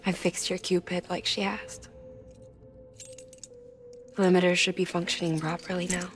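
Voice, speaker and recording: An actress playing a grieving woman engineer in a dramatic game cutscene, speaking in a measured, hushed, halting way. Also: lowish voice, little pitch movement, soft background music, lightly acted. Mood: sober, guarded. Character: grieving female engineer reunited with her twin sister.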